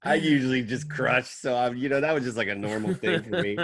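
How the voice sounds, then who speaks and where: A man's voice: medium pitch, crowd noise. Easygoing man hosting a comedy chat. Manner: relaxed, joking, quick reactions. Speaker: podcast host. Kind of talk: casual interview chat.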